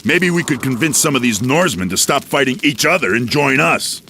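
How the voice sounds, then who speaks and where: A gravelly mythic adventurer. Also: male voice, mid-range voice, animated, brisk, pushed and bright, slight background noise. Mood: hopeful. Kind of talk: dramatic video game cutscene acting.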